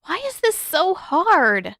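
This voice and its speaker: A woman voicing an impatient, playful teenage girl with an expressive, informal delivery, as in an audiobook drama. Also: very high voice, slow, no room sound, clean studio sound, voice pushed up, heavily acted. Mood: frustrated, whiny. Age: teenage character voiced by adult.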